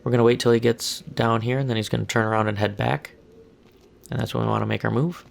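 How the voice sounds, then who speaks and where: Hoarse man calmly guiding a game. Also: medium pitch, fast. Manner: calm step-by-step instructions. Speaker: gameplay walkthrough narrator. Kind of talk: casual game walkthrough commentary.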